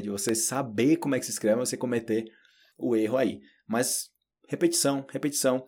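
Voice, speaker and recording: A Brazilian man with a Brazilian Portuguese accent, giving a relaxed, chatty podcast lesson and addressing learners directly. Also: medium pitch, slightly rough. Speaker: Portuguese teacher. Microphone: solo audio recording.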